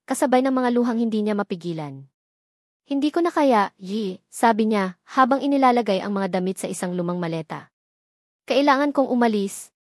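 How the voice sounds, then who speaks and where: A woman narrating a romance story in a steady, dramatic storytelling manner. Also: mid-range voice, no room sound, clean studio sound.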